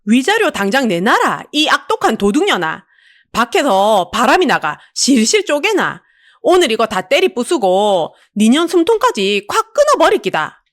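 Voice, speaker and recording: An enraged, greedy husband from an audiobook melodrama, played by a woman, shouting menacing threats in a Busan accent. The voice is pushed high and swings with fury. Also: big pitch swings, normal speed. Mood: menacing rage. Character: enraged thuggish man, greedy betraying husband swinging a pipe.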